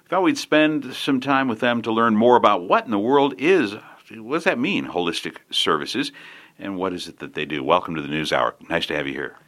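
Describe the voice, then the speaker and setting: Deep-voiced male radio host, animated. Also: fairly quick. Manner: friendly, chatty questioning with some repeated starts. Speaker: radio talk show host. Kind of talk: business radio interview.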